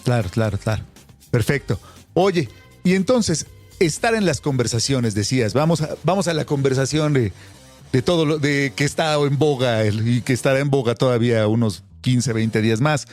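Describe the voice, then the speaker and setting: A man hosts a relaxed podcast interview and keeps nodding along with short agreements. He asks loose, rambling questions in a middle pitch, with soft music faintly behind him. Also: normal speed. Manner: affirming, many "claro" and "ajá" responses. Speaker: podcast host.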